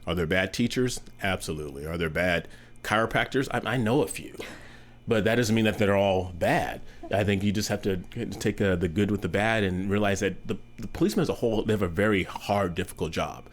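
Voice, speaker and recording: Deep-voiced man in his fifties. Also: slight background noise. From United States. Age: 50s. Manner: candid, good-humored, measured. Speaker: interviewee. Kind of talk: casual interview answers.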